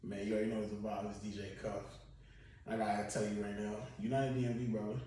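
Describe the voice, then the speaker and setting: A young man from Baltimore talks in a loose, slang-heavy way as a podcast guest, his voice sitting a little low. He is recorded from some distance in a very echoey room, with faint noise underneath. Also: level tone, quick pace, warm tone. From Baltimore County, Maryland. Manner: laid-back storyteller with slang and filler words. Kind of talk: casual podcast chat.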